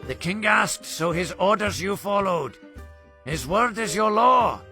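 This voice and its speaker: An eccentric old creature in a cartoon, sly and theatrical. The voice is high and sharp for a man, slow, and lilts through rhymes. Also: expressive, soft background music, exaggerated voice. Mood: wary, probing. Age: older. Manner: sing-song rhyming, theatrical.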